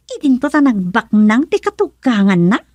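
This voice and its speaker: High, animated, scheming woman. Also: normal speed. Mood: scheming, gloating. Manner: taunting, theatrical, laughing mockingly. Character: scheming, mocking, gold-digging woman with a taunting streak. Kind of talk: radio drama acting.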